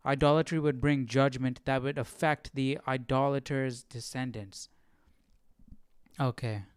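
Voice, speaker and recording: A man with a smooth, clear voice leads a casual Bible study. He reads and explains while thinking aloud, often tagging his points with a short check, and the sound is dry. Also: mid-range voice, steady tone, a little slow, dry recording. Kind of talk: casual live study commentary, reading verses and explaining.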